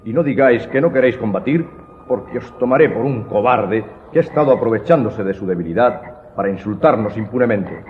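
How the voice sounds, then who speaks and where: A low-voiced, stern leader of a secret society played by a man speaks with formal command and a sense of honour. The radio drama sound is narrow and phone-like, swimming in long reverb. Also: animated, fairly quick, slight background noise, lightly acted. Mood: formal challenge.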